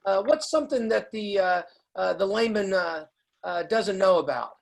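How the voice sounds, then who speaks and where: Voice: mid-range voice, animated, moderate pace, vocal fry. An interviewer asking questions in a casual style, hesitant and searching for the right words.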